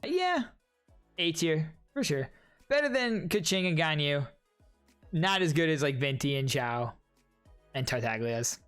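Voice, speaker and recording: A man with a slightly high voice talks casually as he reacts to game trailers. He rambles and muses informally, with some music faintly behind him. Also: expressive, measured pace, long pauses, a bit bright, clear, resonant tone.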